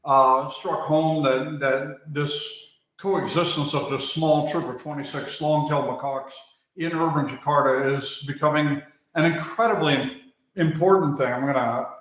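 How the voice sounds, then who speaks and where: A man from Germany with an American accent, hosting a live stream on his wildlife channel and talking unhurriedly with many pauses while he reads viewer chat. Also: on the high side, a bit bright. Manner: unhurried, pausing with fillers, answering chat between thoughts. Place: outdoors or open area while working on a bike. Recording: very echoey space.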